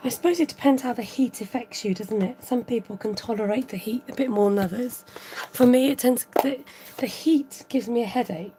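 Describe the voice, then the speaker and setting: A woman hosting a live card-making tutorial, thinking aloud as she works, chatting with viewers and reading out their comments. Her voice is a little croaky. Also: a little high, a little fast, few pauses, dark tone, slight background noise, rough recording. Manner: rambling, thinks aloud while working. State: slightly croaky voice. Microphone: overhead camera above a craft table, voice from off-frame.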